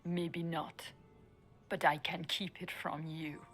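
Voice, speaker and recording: A sly, cool elven spymaster. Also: female voice, low voice, little pitch movement, slow pace, fairly bright tone, slightly rough, faint music behind, full character voice. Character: cunning elven spymaster, quietly defiant.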